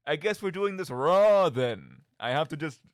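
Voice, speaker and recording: A man's voice: a little high, lively intonation, normal speed, no room sound, clean studio sound. A young man hosting a casual, rambling radio show in a playful, mock-dramatic, tongue-in-cheek manner. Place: radio studio. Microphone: studio microphone.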